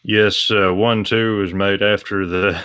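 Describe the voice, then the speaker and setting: A man giving measured, matter-of-fact explanations as a guest in a casual discussion. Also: fairly low pitch, little pitch movement, fairly bright tone, clean tone. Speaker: interview guest, visual novel translator.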